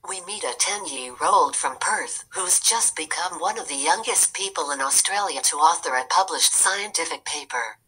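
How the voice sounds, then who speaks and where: A woman's voice: deep voice, animated, moderate pace, hardly any pauses, sharp tone, slightly rough, rough recording. A synthetic female computer voice reading written text aloud. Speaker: text-to-speech reader. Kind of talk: read-aloud of written article.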